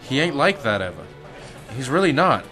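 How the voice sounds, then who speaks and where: A man playing an edgy, defensive ex-Marine turned crook in a detective radio drama, talking in gruff slang. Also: medium pitch, sing-song, moderate pace, sharp tone, soft background music. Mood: uneasy, defensive.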